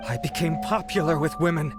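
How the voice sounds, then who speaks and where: A man's voice: on the high side, a little fast, music in the background, natural voice. An actor playing a bitter, self-pitying young man narrating his past in a video game, theatrical and wistful.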